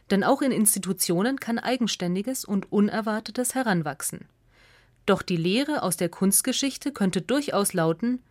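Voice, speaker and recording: Female essay narrator for radio, medium pitch, close dry sound. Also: no echo.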